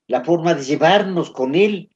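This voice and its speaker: A man answers interview questions, reminiscing with sincere warmth. His voice sits a bit high for a man. The recording sounds dull and muffled. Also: measured pace. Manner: earnest, warm reminiscing.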